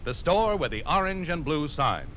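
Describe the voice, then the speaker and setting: A man's voice: a little high, sing-song, normal speed. A man announcing a 1940s-style radio commercial with polished salesmanship. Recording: muffled old radio broadcast.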